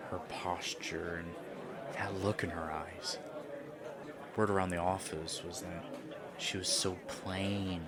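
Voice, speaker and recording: A man performing an audio roleplay monologue, earnest and reflective, sometimes dropping to a hushed whisper. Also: sits low.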